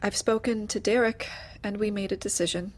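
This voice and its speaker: Woman acting cowed female office worker: subdued, deferential, medium pitch, dark-comedy audio drama. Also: steady tone, brisk, lightly acted. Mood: quietly grieving. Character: meek, anxious young mother, a cowed female employee.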